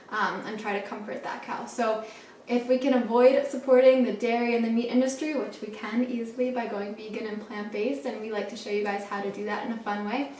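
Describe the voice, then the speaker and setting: Young woman, fairly high voice, chatty cooking co-host, informative, roomy kitchen sound. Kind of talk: informal explanatory presentation. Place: home kitchen, indoors. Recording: echoey kitchen, distant mic, faint noise.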